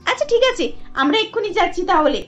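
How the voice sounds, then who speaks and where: A high-voiced young wife in a cartoon , played by a woman, hooked on her TV serials. She talks in an eager, pleading rush. Music plays softly behind her. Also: expressive, fast, dull audio. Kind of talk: cartoon voice acting.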